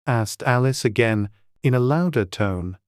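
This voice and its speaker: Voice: medium pitch, animated, a little slow, clean tone, no room sound, studio quality. An audiobook narrator reading a dramatized storybook.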